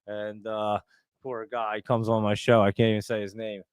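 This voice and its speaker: A man's voice: fairly low pitch, little pitch movement, moderate pace, clear, resonant tone, dry recording, studio quality. A man in his forties with a New Jersey accent, rambling casually as he hosts a live stream and answers viewer comments. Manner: rambling, chatty, lots of fillers and restarts. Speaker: livestream host.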